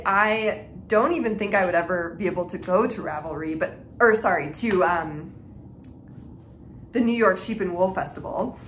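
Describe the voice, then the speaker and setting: A woman's voice: mid-range voice, fairly bright tone. Chatty woman podcaster in an echoey room. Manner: chatty and informal, frequent "like" and self-interruptions. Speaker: knitting and reading podcast host. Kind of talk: casual chat to viewers. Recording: echoey room with faint background noise.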